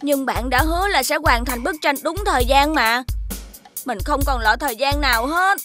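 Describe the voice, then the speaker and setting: A small, loyal magical buddy in a kids' animated cartoon. The voice is high-pitched and childlike, earnest in delivery, over clear background music. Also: female voice, moderate pace. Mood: reluctant. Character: small loyal magical friend, helpful but timid.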